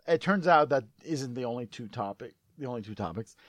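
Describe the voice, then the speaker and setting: A man co-hosting a casual comedy podcast, joking and self-deprecating, his voice going hoarse from strain. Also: medium pitch, lively intonation, a little fast, no echo. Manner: jokey, self-deprecating, tries silly cartoon voices. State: losing his voice, throat clearing. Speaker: podcast co-host.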